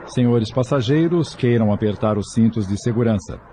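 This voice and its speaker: An actor playing a courteous airline captain making a formal announcement to passengers in an audio drama. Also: male voice, medium pitch, normal speed, noisy background, close to an everyday voice.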